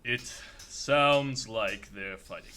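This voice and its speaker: A man's voice: lowish voice, takes it slowly, sharp tone, smooth. An actor playing a tense, commanding captain giving orders to his crew in a radio drama. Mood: urgent.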